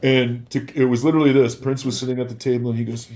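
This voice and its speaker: A man telling casual anecdotes as a podcast guest, in a halting way that often backtracks. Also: mid-range voice, level tone, a crowd in the background. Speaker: podcast guest, former security worker and DJ.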